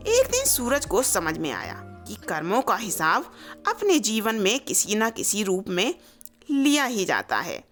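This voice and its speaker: A woman's voice: mid-range voice, a bit bright. A woman reading a scripted moral story as a storyteller.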